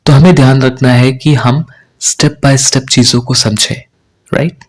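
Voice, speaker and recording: A young man with an Indian accent teaching spoken English, explaining deliberately in Hindi mixed with English and often checking in with "right?". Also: medium pitch, fairly even intonation.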